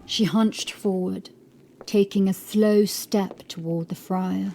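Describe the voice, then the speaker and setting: A woman narrating a horror audiobook in a dramatic, scripted style, with measured, suspenseful storytelling. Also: mid-range voice, a little hiss or hum. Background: music.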